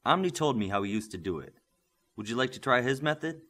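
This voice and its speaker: A man's voice: mid-range voice, lively intonation, quick pace, pushed and bright, pitched above the performer's own voice. A man playing a patient, firm superhero mentor in an audiobook, coaching and instructing steadily. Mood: patient, encouraging.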